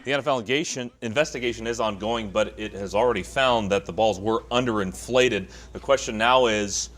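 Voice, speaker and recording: American sportscaster, fairly low male voice. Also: brisk, fairly bright tone. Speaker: sports director reporting to anchor. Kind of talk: conversational sports news report.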